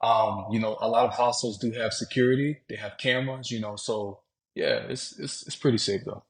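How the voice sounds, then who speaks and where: A man from Louisiana, a podcast guest, talking about travel in a relaxed, conversational way, often saying "man" and "you know". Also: fairly low pitch, brisk. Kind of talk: casual interview talk.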